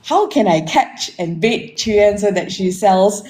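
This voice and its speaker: A woman's voice: mid-range voice, animated, unhurried, darkish tone. A forty-year-old woman with a Malaysian accent, talking conversationally about herself to listeners and checking in with them as she goes. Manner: conversational, addresses listeners, tags statements with "right?". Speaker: interview guest, marketing technology consultant. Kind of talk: informal self-introduction and career account. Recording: echoey room with faint background noise.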